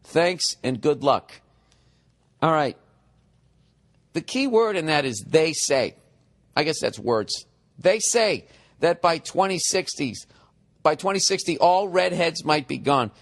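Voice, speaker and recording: A man's voice: on the high side, expressive, slow pace, long pauses. A man in his forties, a podcast host reading a listener email and ranting sarcastically and profanely about it. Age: 40s. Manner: mocking, exasperated. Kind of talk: comedic podcast rant.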